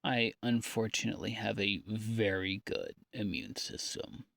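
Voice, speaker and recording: A man speaks into a personal audio log at a slow, halting pace, leaving long pauses between thoughts. His delivery is downbeat and folksy, rambling in a confessional way. The sound is dry, with no room around the voice. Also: fairly low pitch, fairly even intonation, creaky, a bit bright, no echo. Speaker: audio diarist.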